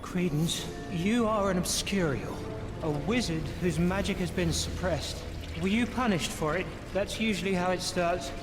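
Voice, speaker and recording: Guy playing shy kind young wizard, kinda high soft voice, halting, movie music under it. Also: lightly acted. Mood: gentle, probing. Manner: hesitant, halting, soft-spoken. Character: gentle, awkward young wizard, sincere and kind. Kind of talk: film dialogue in a game cutscene. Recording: orchestral film music behind.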